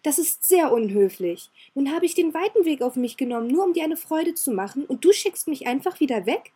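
A woman voicing a cheerful, eager young noble girl who talks in a bright, pleading sing-song. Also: high-pitched, big pitch swings, a little fast, pitched above the performer's own voice. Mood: indignant.

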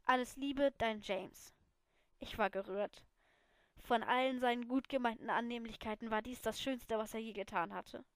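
A woman reading her own fan fiction aloud as an amateur, in expressive first-person narration. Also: fairly high pitch, moderate pace, no room sound.

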